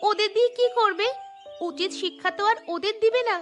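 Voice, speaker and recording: A woman voicing a gossipy, fawning village follower in a cartoon, with an animated, tattling manner. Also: extremely high pitch, fairly quick, faint music behind. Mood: questioning. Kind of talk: Bengali cartoon dialogue.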